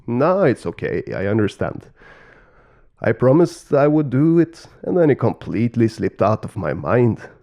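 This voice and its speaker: An emphatic father god of creation from a storybook, acted by a man, loving but easily exasperated. His mellow voice moves freely in pitch and creaks. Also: sing-song, a little slow. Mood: contrite.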